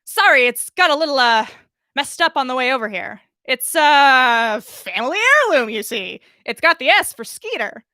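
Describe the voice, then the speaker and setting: Casting: a woman reader as a flustered storybook fibber, female, high and bright, stammers and hesitates. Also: lively intonation, no room sound, studio quality, exaggerated voice. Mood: nervous, fibbing. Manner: halting, stammering. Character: flustered, nervous woman fibbing about a heirloom locket.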